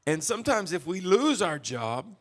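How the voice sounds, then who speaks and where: A man's voice: sits high, big pitch swings, measured pace. A man from Texas preaching a sermon in short phrases for an interpreter, folksy and chuckling, with frequent restarts. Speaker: pastor. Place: church auditorium.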